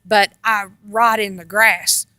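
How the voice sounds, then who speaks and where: A woman casually telling rambling personal stories in a halting, meandering way, often repeating herself. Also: mid-range voice, steady tone, sharp tone, dry recording, studio quality. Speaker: storyteller on own video channel.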